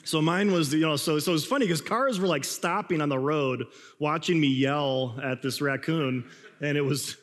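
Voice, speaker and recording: A young man preaching a casual, rambling sermon, his voice slightly raspy as he recovers from losing it. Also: on the high side, normal speed, fairly bright tone. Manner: rambling, self-deprecating, frequent fillers and laughs. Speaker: pastor. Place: church hall. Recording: reverberant hall.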